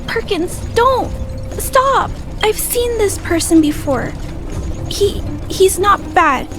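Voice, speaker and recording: A small girl with a very high voice, shy, trusting and earnest. She speaks politely and haltingly, as in a game cutscene, with music clearly underneath. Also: animated, exaggerated voice. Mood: urgent, then gentle, earnest. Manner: soft-spoken, hesitant, polite, stammering. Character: gentle, timid little girl, earnest and trusting. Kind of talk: video game cutscene voice acting.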